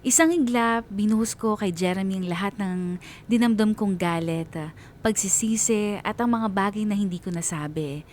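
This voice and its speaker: A woman's voice: moderate pace, a little mellow. A woman reading a dramatic, scripted first-person story as narrator. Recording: clear rumbling background noise.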